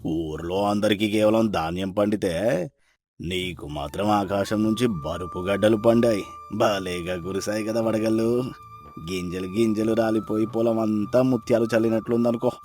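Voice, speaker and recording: Man acting a low-voiced, sarcastic village moneylender. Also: fairly even intonation, normal speed, a little mellow, soft background music, lightly acted. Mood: gleeful mocking. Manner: sarcastic, teasing, blunt. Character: sarcastic, mocking, secretly kind-hearted male village moneylender. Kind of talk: dramatized moral story acting.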